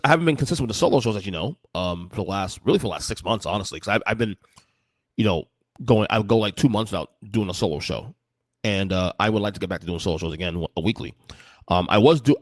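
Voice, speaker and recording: A man's voice: on the low side, no echo. A man in his forties hosting a solo podcast, talking casually with many restarts and fillers. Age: 40s. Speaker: podcast host.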